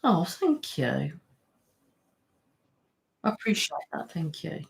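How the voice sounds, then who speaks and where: A woman's voice: deep voice, sing-song, slow pace, gravelly. A woman, chatting casually on a live stream and answering viewers' comments in a rambling, friendly way. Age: 30s. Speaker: ASMR channel host.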